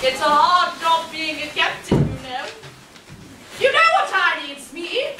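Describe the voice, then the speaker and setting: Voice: extremely high pitch, big pitch swings, measured pace, heavily acted. A performer playing a vain, theatrical male pirate captain in a stage pantomime, pompous and declamatory. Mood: self-pitying. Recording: echoey theatre hall, far from mic, noisy.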